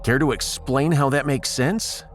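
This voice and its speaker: A man's voice: medium pitch, measured pace, faint music behind, close to an everyday voice. A man voicing an emphatic, sarcastic, commanding starship captain in a comic audiodrama.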